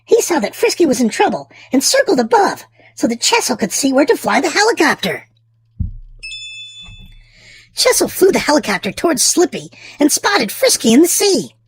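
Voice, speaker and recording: Woman reading a storybook with very animated pitch. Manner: theatrical, drawn-out emphasis for small children. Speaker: children's storybook reader and channel host. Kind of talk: expressive read-aloud narration for young children.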